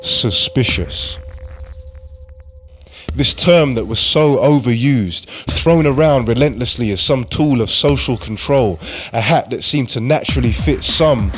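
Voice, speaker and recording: A man giving deliberate, dramatic scripted narration for a radio play. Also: medium pitch, lively intonation. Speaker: writer-performer, narrator. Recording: music under the voice. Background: music.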